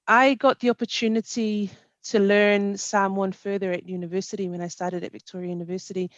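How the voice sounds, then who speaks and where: A young woman speaks at a mid pitch, answering thoughtfully with frequent ums. Her headset sound is dry and a little dull. She talks over a video call. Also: dry recording. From New Zealand. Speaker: panel guest, researcher. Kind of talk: casual interview answers. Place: small indoor room.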